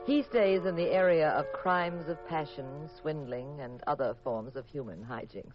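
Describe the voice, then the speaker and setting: A woman's voice: low-pitched, measured pace. A poised detective's wife with an American accent. She tells her mystery wryly, in 1950s radio fashion. The recording is old and muffled, with faint music. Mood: wry storytelling. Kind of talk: 1950s radio drama narration.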